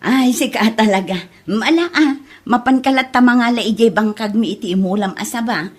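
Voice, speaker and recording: A woman's voice: on the high side, moderate pace, natural voice. A woman with an Ilocano accent playing a helpful, generous village neighbour in a comedy drama. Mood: friendly, encouraging. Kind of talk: comedy radio-style drama acting.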